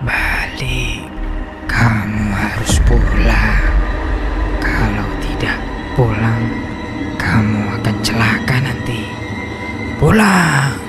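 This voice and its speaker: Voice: mid-range voice, over loud music. Seorang pengisi suara memerankan hantu gunung yang berbisik pelan dan mengancam dalam cerita horor animasi. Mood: menacing. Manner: slow, breathy whisper. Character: eerie whispering ghost of the mountain. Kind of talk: animated horror story voice acting.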